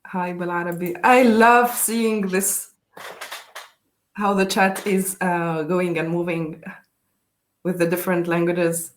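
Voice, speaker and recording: A woman's voice: on the low side, fairly even intonation. Young tunisian woman hosting an online session, lots of uhs and ums. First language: Tunisian Arabic. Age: young adult. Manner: halting, many fillers, switches between English, Arabic and French. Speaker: session host. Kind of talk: live online panel moderation.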